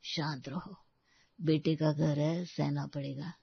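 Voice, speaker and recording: A woman voicing a gentle, long-suffering old grandfather in a storybook tale, mild and reassuring. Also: deep voice, steady tone, slow pace, gravelly, slightly rough, no echo, lo-fi sound, heavily acted. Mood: resigned, resolute. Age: older.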